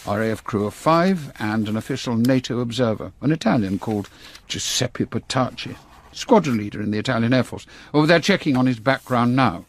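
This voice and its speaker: An older English intelligence chief in a radio drama. His voice is husky and swings widely in pitch, yet stays clipped and full of authority. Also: lively intonation, brisk. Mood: grave, brisk. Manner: clipped, commanding.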